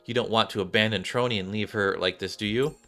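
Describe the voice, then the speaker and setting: A man voicing a calm, reassuring confidant in a game story, gently firm. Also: on the low side, fast, faint music behind.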